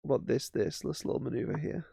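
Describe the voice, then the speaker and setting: A man's voice: mid-range voice, expressive, fast, gravelly, mellow, breathy edge, dry recording, clean studio sound. A man casually commentating his own chess games as he plays, thinking aloud with self-mocking asides. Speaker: chess streamer.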